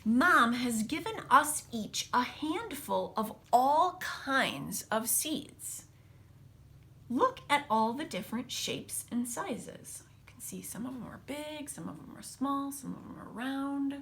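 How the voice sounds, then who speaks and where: A woman teacher reading a picture book aloud to children, expressively, with casual, hushed explanatory asides. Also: high-pitched, slow pace. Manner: animated storybook delivery, dropping to hushed asides.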